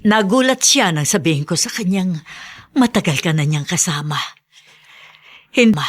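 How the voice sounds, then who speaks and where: A humble, middle-aged mother in a Filipino radio drama. Her voice is somewhat low, with a gentle, soothing, motherly way of speaking. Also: expressive, moderate pace. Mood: reassuring.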